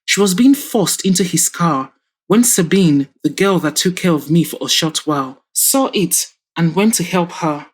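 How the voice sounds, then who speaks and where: A woman voicing a patient grown daughter who reasons calmly and firmly with her father. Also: on the low side, moderate pace, breathy edge. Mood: coaxing. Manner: calm, coaxing, reasoning. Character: grown daughter, patient and firm, fashion designer.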